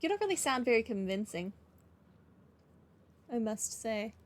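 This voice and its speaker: A woman's voice: a little high, big pitch swings, measured pace. A woman doing let's play commentary and reading game lines aloud in a dry, joking manner.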